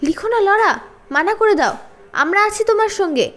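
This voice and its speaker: A woman's voice: very high-pitched, animated, moderate pace, a bit bright, soft background music, voice pushed up. A woman voicing cheeky, defiant schoolboys in a children's storybook reading, boastful and bold. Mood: urging.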